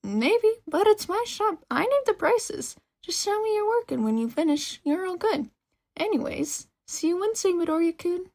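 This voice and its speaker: A woman's voice: extremely high pitch, very animated, moderate pace, darkish tone, voice pushed up, full character voice. A woman voicing a sassy, playful female shopkeeper with a teasing, breezy manner in an audio story.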